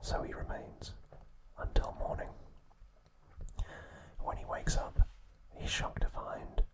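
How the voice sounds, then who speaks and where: A man narrating a recap as an ASMR host in a soft, unvoiced whisper, in short deliberate phrases. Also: rough recording.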